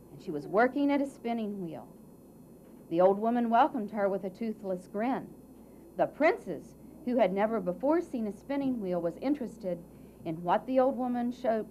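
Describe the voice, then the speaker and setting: A woman narrating a scripted fairy tale for a puppet show in a theatrical way, with dramatic pauses and emphasis. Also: a little high. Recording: muffled, faint background noise.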